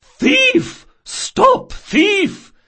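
A man's voice: high-pitched, huge pitch swings, a little slow, slightly rough, pitched above the performer's own voice, full character voice. A man voicing a bossy, fierce old grandmother shopkeeper in an audiobook, emphatic and commanding with a strong carrying voice. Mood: furious, commanding.

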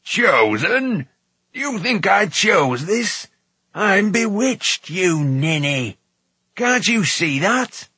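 An irascible little dwarf stuck in the body of a frog, played by a male audiobook reader. He snaps and blusters with scorn in a storybook style. The voice is husky and recorded very dry. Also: a little high, lively intonation, unhurried, a bit bright, no echo, heavily acted. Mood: exasperated, scornful. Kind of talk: audiobook character voice.

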